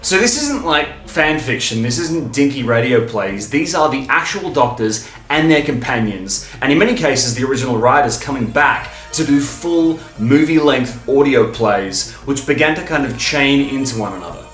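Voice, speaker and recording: A man hosting a fan video, talking in an enthusiastic, informal, emphatic way, stopping and starting with pauses for breath. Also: medium pitch, animated, fairly bright tone, reverberant, faint music behind. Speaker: video host and fan reviewer.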